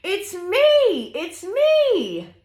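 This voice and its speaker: Gleeful little storybook farm critters, voiced by a woman: squeaky, high, swooping animal calls, roomy echo. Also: huge pitch swings, drawn-out words, a little mellow, full character voice.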